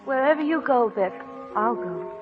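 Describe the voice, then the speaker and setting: An actress in a 1930s radio drama playing a gentle, devoted sweetheart, speaking tenderly and hesitantly. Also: female voice, on the high side, lively intonation, moderate pace, lightly acted. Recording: muffled 1930s radio recording, music behind.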